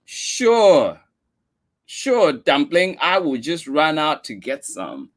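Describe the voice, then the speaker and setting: A caring storybook mom who speaks softly and tenderly, done by a man reading a picture book aloud. The voice sits higher than a man's usual register, with a slow, lilting delivery. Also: wildly swooping pitch, exaggerated voice. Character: gentle caring mother.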